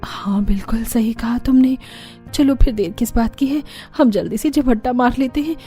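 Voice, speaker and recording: A woman's voice: fairly high pitch, moderate pace, mellow, slightly rough, faint music behind. A woman playing a weary, long-suffering elder daughter-in-law in a cartoon story, grumbling and confiding. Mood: conspiratorial.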